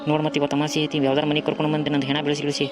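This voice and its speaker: A man voices a grumbling, impatient young traveller in a homemade cartoon. His voice sits fairly high and races along briskly in a North Karnataka Kannada accent, with faint music underneath. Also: completely flat delivery, lightly acted. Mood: uneasy, brisk. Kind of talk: amateur cartoon voice acting.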